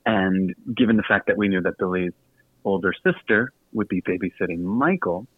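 An American man from Virginia, a guest author telling stories casually in an interview, chatty, halting and self-deprecating. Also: low-pitched, husky. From Virginia, United States. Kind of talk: casual storytelling in a radio interview. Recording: tinny phone-like line with some room echo.

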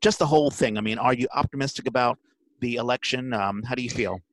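A man's voice: mid-range voice, quick pace, a bit bright, a little hiss or hum. A man hosting a casual podcast chat, talking in a rambling, stammering way that trails off into asides. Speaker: podcast host.

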